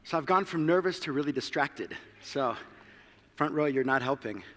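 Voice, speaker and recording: A man's voice: fairly high pitch, animated, moderate pace, sharp tone, in a large hall. A South African-born man with an American accent giving a conference presentation, mixing light self-deprecating humor into the talk. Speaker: conference speaker. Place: large hall.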